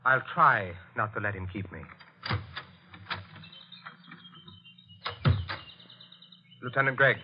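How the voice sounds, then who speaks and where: An actor playing a guarded, brooding married man who narrates his own dark crime in an old radio thriller, evasive and haunted. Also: medium pitch, a little fast, slight background noise, close to an everyday voice. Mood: defensive. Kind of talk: 1940s radio thriller acting, first-person narration. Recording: muffled old radio recording with music.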